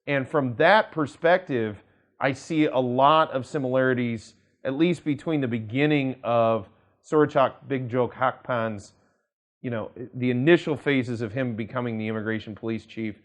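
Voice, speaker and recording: American male legal commentator, average pitch, deliberate and emphatic, explaining and quoting news to camera. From United States. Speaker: attorney presenting a legal news channel.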